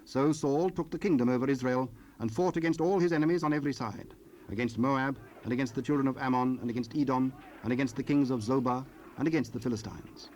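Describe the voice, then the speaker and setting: Solemn male Bible narrator, medium pitch. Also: fast talker, a little mellow. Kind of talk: scripted scripture narration. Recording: noisy cassette rip, ambient sound.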